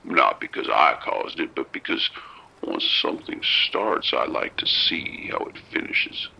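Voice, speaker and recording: A man playing a calm, soft-spoken abductor in an audio drama, with a gravelly, whispery voice and a slow, coaxing manner. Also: a bass voice, a little hiss or hum, heavily acted, poor audio. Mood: creepy whisper.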